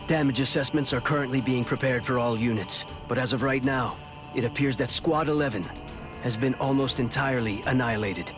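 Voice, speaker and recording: A man's voice: mid-range voice, fairly quick, music in the background, lightly acted. An actor playing a male military messenger in an anime game, making a grave announcement in an official, clipped way.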